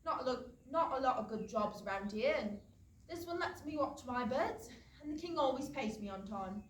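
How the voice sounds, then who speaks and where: Lively, chatty seasoned castle guard played by a woman. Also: high voice, moderate pace. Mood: frank, kindly. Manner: colloquial, reassuring, explanatory. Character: seasoned, chatty, bird-loving castle guard, teasing but kindly. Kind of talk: theatrical staged comedy reading. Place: indoor studio theatre. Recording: roomy, distant stage pickup, faint noise.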